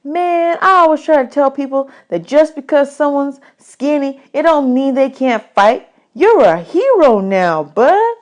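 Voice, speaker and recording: A woman's voice: high-pitched, very animated, unhurried, pitched above the performer's own voice. A woman voicing an eager, streetwise runaway boy with folksy, slangy talk in a storybook reading. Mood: excited, admiring.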